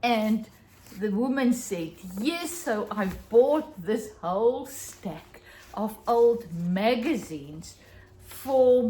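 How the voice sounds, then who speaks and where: A woman's voice: a little high, a little mellow. A middle-aged South African woman whose first language is Afrikaans, chatting to camera in a gushing, enthusiastic way about things she has found. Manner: gushing, enthusiastic, exclaiming over small finds. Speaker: vlogger. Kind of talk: casual chat to camera.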